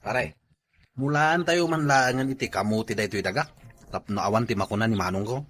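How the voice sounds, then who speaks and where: A man's voice: lowish voice, brisk, a bit bright, slight background noise, natural voice. A man with a Filipino Ilocano accent acting a carefree, lazy young villager who likes a drink, in an amateur comedy drama. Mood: scheming, upbeat.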